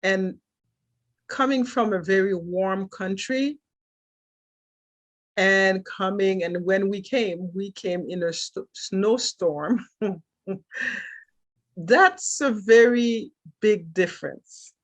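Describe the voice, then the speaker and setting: A middle-aged woman from Haiti whose first language is French, telling her life story as a podcast guest in a thoughtful way, with soft laughs between thoughts. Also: medium pitch, slow, pauses often. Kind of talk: reflective personal storytelling.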